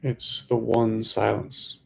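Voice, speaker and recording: A man leading a guided meditation as a teacher, speaking slowly and softly in short phrases with long pauses. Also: lowish voice, level tone, gravelly. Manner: slow, hushed, long pauses between phrases.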